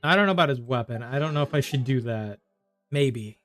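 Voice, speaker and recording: A man's voice: medium pitch, animated, fairly quick, a bit bright. A man chatting casually on a game livestream, rambling and thinking aloud as he plays. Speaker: game streamer. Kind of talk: casual livestream chat while gaming.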